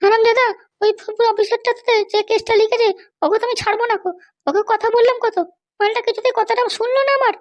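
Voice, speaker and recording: Cartoon comedy role: imperious jailed madam, extremely high voice, rapid-fire scolding and threats. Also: female voice, flat delivery. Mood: angry, complaining. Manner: bossy, scolding. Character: arrogant, threatening jailed madam demanding luxuries and release. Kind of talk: amateur cartoon comedy dubbing.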